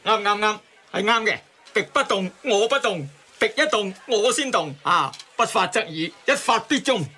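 Talkative male cabbie, Hong Kong Cantonese, bright forceful tone, pompous, emphatic radio drama delivery. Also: sits high, animated, normal speed, slightly rough, exaggerated voice. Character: talkative taxi driver who spouts proverbs.

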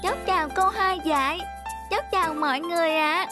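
A tiny newborn girl butterfly in a cartoon. The voice is high, chirpy, sweet and polite. Music is prominent behind it. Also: a little fast.

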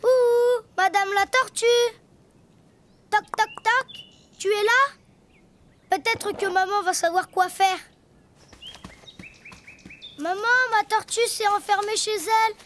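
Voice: extremely high pitch, fairly even intonation, takes it slowly, long pauses, fairly bright tone, slight background noise, full character voice. An actor voicing a cheerful, imaginative little boy donkey in a preschool cartoon, childlike and full of wondering exclamations. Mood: eager, concerned. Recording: music behind the voice.

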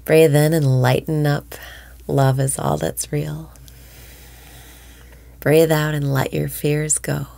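A woman leading a guided breathing meditation in a slow, soothing voice that often drops to a whisper. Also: sits low, little pitch movement, gravelly, dark tone, no room sound.

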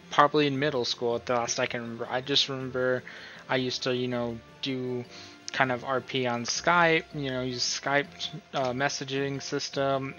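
A man's voice: medium pitch, sharp tone. A man giving a casual screen-recorded tutorial, thinking aloud informally and often checking in with the listener. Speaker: tutorial host. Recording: dull, lo-fi sound with music.

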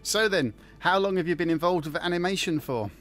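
A man hosting a radio interview, asking brisk, light-hearted questions. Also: sits high, fairly bright tone. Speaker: radio host. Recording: music bed under the voice. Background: music.

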